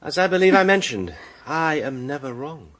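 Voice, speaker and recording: An actor playing a self-assured, righteous accuser in a radio mystery drama, with emphatic, deliberate phrasing. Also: male voice, on the high side, animated, heavy background noise, natural voice. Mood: indignant, righteous. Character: righteous, self-assured male accuser laying out a murder case.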